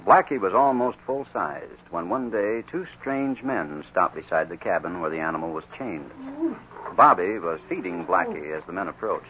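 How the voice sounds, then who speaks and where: A man narrating a 1940s radio adventure drama as a formal, measured storyteller. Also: low voice, animated. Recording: muffled, noisy 1940s radio recording.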